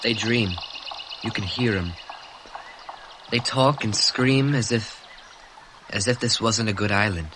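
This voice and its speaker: A man's voice: fairly low pitch, takes it slowly, pauses often, a bit bright, slight background noise. A man voicing a tired, frustrated teenage boy leader who complains wearily. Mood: earnest.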